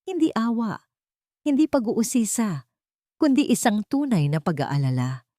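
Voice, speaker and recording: A woman's voice: a little high, sing-song, measured pace, mellow, dry recording, studio quality. A woman with a Filipino accent reading a dramatic romance story as scripted narration.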